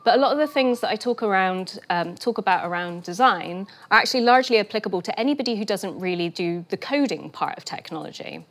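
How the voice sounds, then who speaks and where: A woman giving a lunchtime lecture, a little croaky from a cold, explaining terms slowly with fillers and restarts. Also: medium pitch, lively intonation. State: getting over a cold, a bit croaky. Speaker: guest lecturer. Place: lecture room.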